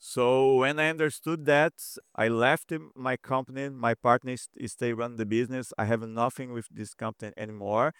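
A man from Brazil with a strong Brazilian Portuguese accent, answering interview questions in halting English with frequent pauses. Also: medium pitch, steady tone, a bit bright, clear, resonant tone, no echo, clean studio sound. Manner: halting, searching for words with frequent "uh". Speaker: president of a lifestyle health center.

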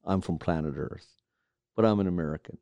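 A man from Alaska, a carver and studio owner, speaking reflectively in a documentary interview, pausing often with fillers. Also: sits low, warm tone, no room sound, muffled recording. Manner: reflective, halting with many fillers.

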